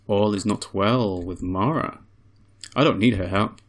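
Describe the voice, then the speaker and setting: A man's voice: lowish voice, unhurried, voice pushed down. A man voicing a polite, curious young female android in a visual novel. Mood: uneasy.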